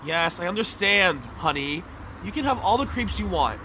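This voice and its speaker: A man's voice: high voice, expressive, moderate pace, bright, forceful tone, a little hiss or hum, poor audio. A man playing a cheeky, good-natured young professor in an audio drama, playful and bantering. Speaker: voice actor. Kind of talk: audio drama acting with first-person narration.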